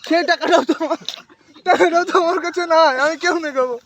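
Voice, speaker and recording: A very high-pitched, lovelorn village boyfriend, acted out by a man for a prank call. He pleads and whines, melodramatic and repeating his complaints. The recording has clear outdoor background noise. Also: fast talker. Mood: aggrieved, whiny. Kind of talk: prank phone call acting.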